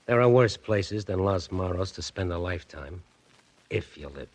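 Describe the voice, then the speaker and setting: A menacing gangster keeping watch on the hero. He speaks in cold, clipped threats at a low pitch. It is a vintage radio drama performance. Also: animated, moderate pace, very noisy. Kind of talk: 1940s radio acting.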